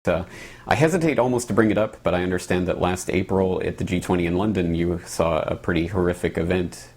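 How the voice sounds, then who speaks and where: A man with a husky voice putting questions conversationally as an interviewer. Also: low voice, brisk, darkish tone.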